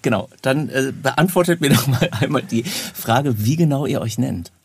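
A man's voice: medium pitch, normal speed, vocal fry, slight background noise. A man hosting a talk podcast, chatting and presenting to listeners and guests. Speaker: podcast host and interviewer.

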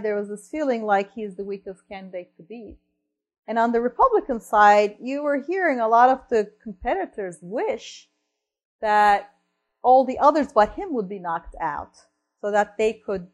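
Woman from Turkey, fairly high voice, lecture talk, energetic, thinking aloud. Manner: energetic, thinks aloud with frequent "right?" and restarts. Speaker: researcher and columnist giving a talk.

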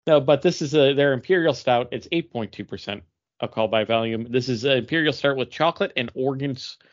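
A man's voice: mid-range voice, fairly quick. A man with a mid-range voice chats casually as a podcast co-host. He wanders through his sentences and corrects himself along the way. The recording is a little dull and lo-fi. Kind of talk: casual chat among friends.

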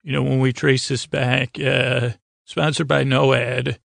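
A man with a creaky voice hosting a bedtime podcast in a soothing, meandering, hesitant monologue full of stammers and tangents. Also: medium pitch, little pitch movement, slow, a bit bright, no room sound, clean studio sound. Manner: soothing, lulling delivery. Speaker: podcast host.

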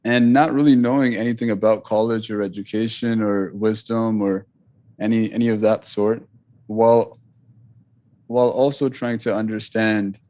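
Low, flat-voiced reflective man. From Richmond, Virginia, US. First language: Punjabi. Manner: thoughtful, with frequent fillers and restarts. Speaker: author and interview guest. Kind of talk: reflective interview talk.

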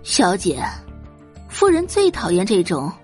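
A woman's voice: medium pitch, wildly swooping pitch, a little slow, faint music behind. A woman voicing a stern male butler in an audiobook, clipped, formal and condescending. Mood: cold disapproval. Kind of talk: audiobook character acting.

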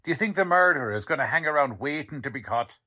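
Man playing a forceful, sarcastic radio cop. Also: a little high, expressive, fast, heavily acted. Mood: exasperated. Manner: sarcastic, tough-talking. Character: gruff, sarcastic, impatient male police detective. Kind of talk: 1940s radio drama acting.

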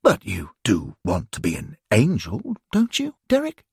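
A man voicing a genteel older gentleman who frets and fusses in a husky, creaky voice. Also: on the low side, wildly swooping pitch, measured pace, no echo, clean studio sound, full character voice. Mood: fretful. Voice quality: husky, creaky, prim and fretful.

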